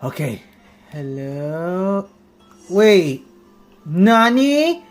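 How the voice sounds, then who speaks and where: Animated low-pitched woman streamer. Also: soft background music. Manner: animated exclamations, drawn-out reactions. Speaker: game streamer. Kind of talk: casual livestream reactions to game. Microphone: phone. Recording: faint noise, phone livestream.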